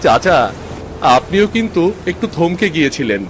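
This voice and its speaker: A man's voice: a little high, big pitch swings, normal speed, a little hiss or hum. An actor playing an eager, cheeky young village boy in a cartoon story.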